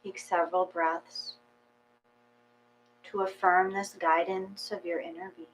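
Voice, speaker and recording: A woman reading a guided meditation aloud in a slow, calm, measured way with long pauses. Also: fairly low pitch, low-quality recording. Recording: faint mains hum.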